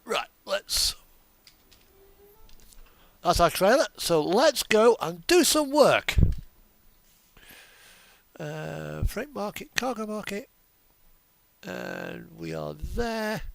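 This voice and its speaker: A man's voice: sits high, expressive, extremely slow, gravelly, pauses often, fairly bright tone, dry recording. A man giving casual, rambling commentary as he plays a game, often muttering and thinking aloud to himself. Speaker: gaming video host.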